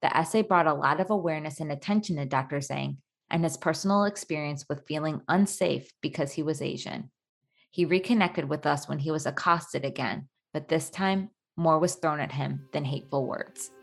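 A woman with a distinctly low voice and plenty of vocal fry narrates a podcast from a script. Her delivery is composed and orderly. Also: moderate pace. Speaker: podcast co-host.

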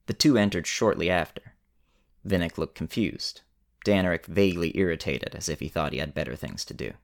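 A man narrating a scripted story in a measured way with dramatic pauses. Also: low-pitched.

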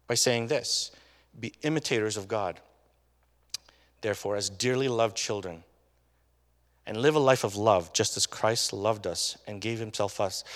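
A man's voice: lowish voice, fairly bright tone. A man preaching a sermon in a casual, conversational way, often tagging his points with questions to the congregation. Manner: conversational, tag questions, restarts. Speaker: pastor. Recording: long reverb of a hall.

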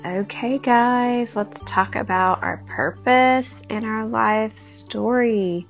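A woman hosting a faith-based talk, speaking conversationally to her listeners with a raspy early-morning voice. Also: medium pitch. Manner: warm conversational, addresses listeners directly. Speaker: podcast host. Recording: dull lo-fi recording.

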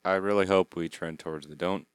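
A man's voice: low-pitched, fairly even intonation, a little fast, clear, resonant tone, no room sound. A man co-hosting a casual sports podcast, laid-back, with dry teasing and short replies. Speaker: podcast co-host.

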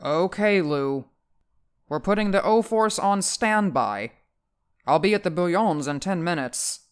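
A man's voice: high-pitched, lively intonation, unhurried, clear, resonant tone, voice pushed up. A man voicing a male military officer speaking over a transceiver in an audiobook, clipped and commanding. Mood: brisk. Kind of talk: audiobook character voice.

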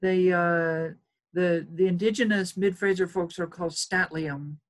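Low-voiced woman archaeologist explaining. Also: fairly even intonation. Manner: measured, explanatory, some hesitations. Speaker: archaeologist guest. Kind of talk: expert interview answers.

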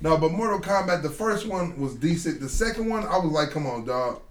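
A man co-hosting a podcast in casual group banter, animated and profane, repeating slangy phrases for emphasis. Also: a little high, a little fast, noisy background. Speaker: podcast co-host. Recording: roomy echo with voices around.

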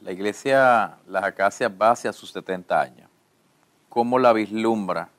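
A man interviews a pastor. His voice sits a bit low. He tells stories with animation. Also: normal speed, a bit bright. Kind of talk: church radio interview.

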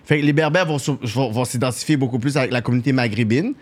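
A man's voice: mid-range voice, fast. A man from Quebec with a Quebec French accent, arguing his point insistently in a casual panel debate. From Canada, Quebec. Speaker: podcast panellist.

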